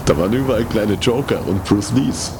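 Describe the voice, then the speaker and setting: A man's voice: lowish voice, brisk, vocal fry, noisy background. A man improvising as an adventurer in a comic audio play, with playful, theatrical delivery.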